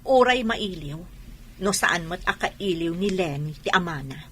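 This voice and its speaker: Lowish bright female voice, patient hurt mother acted, earnest, radio drama. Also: expressive, a little slow, breathy edge, exaggerated voice. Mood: hurt, resigned.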